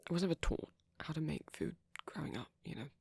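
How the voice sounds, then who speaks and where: A woman voicing a shy, gentle young male vampire boyfriend in an ASMR roleplay, speaking breathily and hesitantly with small stammers. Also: deep voice, quick pace, gravelly, slightly rough, dry recording, studio quality, exaggerated voice. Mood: wistful, hesitant. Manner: hesitant, stammering, softly whispery. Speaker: audio roleplay performer.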